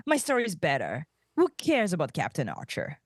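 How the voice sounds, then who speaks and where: A woman's voice: low-pitched, huge pitch swings, fairly quick, no room sound, clean studio sound, exaggerated voice. A woman reading the part of a seductive, unapologetic female alien space rogue in a comedic table read. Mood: proud, chiding.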